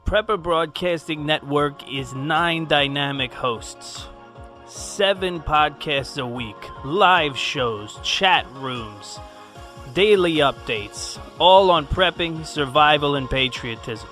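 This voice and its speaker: Bright, forceful male promo announcer. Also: fairly high pitch, lively intonation, slow. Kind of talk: scripted radio promo. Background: music.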